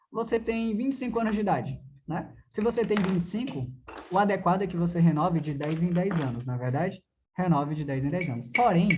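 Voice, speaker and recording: A man's voice: high-pitched, lo-fi sound, noisy background. A Brazilian man giving a conversational classroom lecture as a driving theory teacher, didactic, posing questions and answering them himself with frequent check-in tags.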